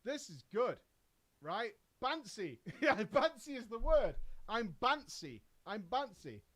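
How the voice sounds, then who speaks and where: Guy streaming and roasting a story he's reading out, high voice, bouncy, lots of swearing and laughs. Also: very animated, takes it slowly, a bit bright. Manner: sarcastic, swears freely, laughs often. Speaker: streamer reading viewer stories. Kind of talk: reaction commentary on a read-out story.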